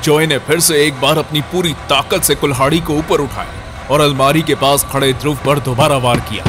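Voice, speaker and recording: A man's voice: a little high, steady tone, normal speed, noisy background. A man narrating a scripted horror story as its storyteller.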